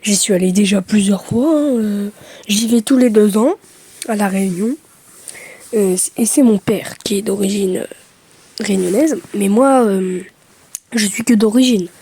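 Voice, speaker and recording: A woman's voice: medium pitch, unhurried, pauses often, warm tone, slightly rough. A young woman from France chatting casually to her camera, hesitant with many fillers and self-mocking asides. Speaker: vlogger.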